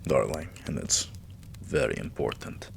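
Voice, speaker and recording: A man playing a tender, devoted vampire king in a romantic ASMR roleplay, speaking in a hushed, breathy, soothing way that often slips into a whisper. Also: extremely low pitch, expressive, a little hiss or hum, exaggerated voice. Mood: tender, earnest. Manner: hushed, slow, soothing, affectionate.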